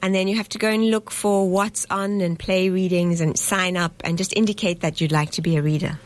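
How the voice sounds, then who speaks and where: A woman from South Africa with a lowish voice talks as a guest in a radio studio. She explains things patiently and earnestly and often pauses mid-thought. Her tone is steady and conversational. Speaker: festival director.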